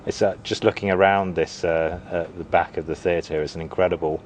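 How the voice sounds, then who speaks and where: A man's voice: low voice, steady tone, fairly quick, a little hiss or hum. A man conducting a casual on-location interview as the interviewer, asking friendly questions. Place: backstage at a theatre.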